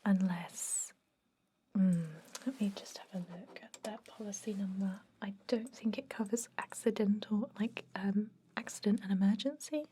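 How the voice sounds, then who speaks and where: A woman playing a chatty but gently stern vampire dentist in a whispered ASMR roleplay, rambling in a confiding way with a breathy voice. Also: medium pitch, moderate pace, natural voice. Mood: attentive, businesslike. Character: chatty long-lived female vampire dentist, garlic-obsessed, gently stern. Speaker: ASMR performer.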